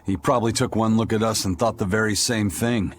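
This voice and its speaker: A man playing a gruff, worldly male spirit pirate in a video game, terse and matter-of-fact. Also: lowish voice, fairly even intonation, a little fast, noisy background, lightly acted. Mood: dry. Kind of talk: video game cutscene acting.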